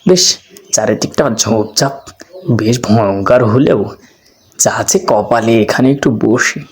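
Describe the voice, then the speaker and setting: A man's voice: fairly low pitch, unhurried, a little mellow, slightly rough. A man playing a lazy, poor villager in a cartoon, musing aloud and complaining to himself in a rambling monologue. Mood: wistful, complaining. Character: lazy, grumbling poor villager wandering at night.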